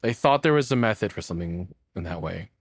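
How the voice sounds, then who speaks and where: A man's voice: low-pitched, animated, fast, dry recording. A man giving casual, joking reaction commentary, rambling along and swearing freely. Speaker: reactor, streamer.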